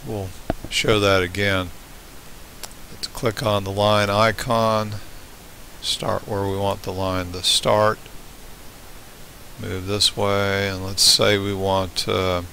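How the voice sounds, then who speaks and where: A man giving an unscripted step-by-step software tutorial in a plain, halting way, pausing mid-phrase. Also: on the low side, low-quality recording. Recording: hissy home voice-over recording. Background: steady hiss.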